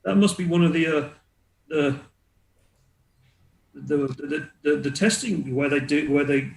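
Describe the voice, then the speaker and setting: A man's voice: a little high, measured pace, gravelly, roomy sound. Man putting on a flustered, stammering city guard. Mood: hesitant, unsure. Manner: hesitant, stammering, repeating words. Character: flustered, grudging male city guard in a fantasy city.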